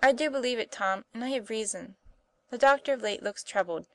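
A woman voicing a gentle, pious young boy who lies wounded, speaking earnestly as an audiobook character. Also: on the high side, a little fast, dry recording, natural voice. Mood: earnest, serene.

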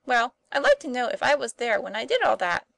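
A woman's voice: fairly high pitch, big pitch swings, moderate pace, creaky, pushed and bright, no echo, pitched above the performer's own voice, exaggerated voice. A woman voicing a plucky, blunt young schoolboy in an audiobook, boyish and exclamatory in manner. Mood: puzzled, wondering. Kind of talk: audiobook character voice.

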